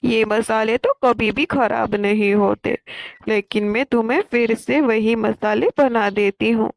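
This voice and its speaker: A woman's voice: fairly high pitch, fairly even intonation, unhurried, vocal fry, dry recording. A woman voicing a kind, motherly old grandmother bird in a cartoon. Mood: reassuring.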